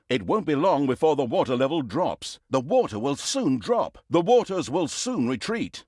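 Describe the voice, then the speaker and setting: A man's voice: mid-range voice, a little mellow, dry recording. Casting: male narrator for a comic fantasy game, sardonic and mock-grand, hugely swooping intonation, dry close studio sound. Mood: announcing. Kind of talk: scripted comic storybook narration.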